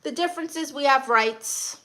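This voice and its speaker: A woman's voice: sits high, moderate pace, fairly bright tone, low-quality recording. A woman with a Brooklyn accent hosting a casual livestream, greeting viewers and answering comments in a playfully sassy, blunt way. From Brooklyn, New York, USA. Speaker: livestream host.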